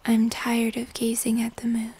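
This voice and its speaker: A young woman playing a gentle, wistful moth-human hybrid of the woods in a slow, intimate fantasy ASMR roleplay. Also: a little high, monotone, slightly rough. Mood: entranced, tender. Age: young adult. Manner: slow, soft, entranced, intimate.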